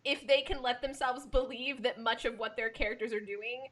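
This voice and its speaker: A woman who works as a theatre director, talking casually in a discussion in an emphatic, expressive way, repeating words for stress. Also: normal speed. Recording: clear background noise with low rumble.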